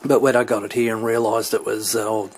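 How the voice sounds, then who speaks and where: A middle-aged man giving an unscripted hobby demonstration, hesitant and halting as he searches for words. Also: lowish voice, level tone. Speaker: CB radio hobbyist demonstrating his equipment. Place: indoors at home beside radio equipment. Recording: clearly noisy home recording with radio static.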